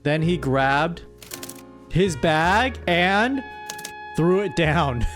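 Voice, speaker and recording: A woman's voice: on the low side, lively intonation, extremely slow. A woman hosting a casual livestream, playful and mock-dramatic as she reads out and comments on a story. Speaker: streamer, host. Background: music.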